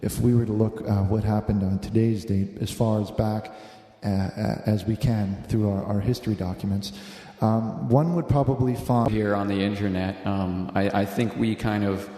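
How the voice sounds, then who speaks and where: A man hosting a live religious call-in show, speaking in a halting, hesitant, earnest way. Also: on the low side, fairly even intonation, mellow. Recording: echoey, reverberant room.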